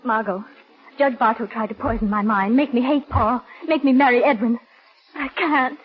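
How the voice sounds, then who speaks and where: Female actor: earnest, defiant young heroine, high-pitched, melodramatic, dull vintage radio recording. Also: moderate pace, close to an everyday voice. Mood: desperate. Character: desperate, defiant young woman protecting her beloved. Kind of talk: 1940s radio melodrama acting.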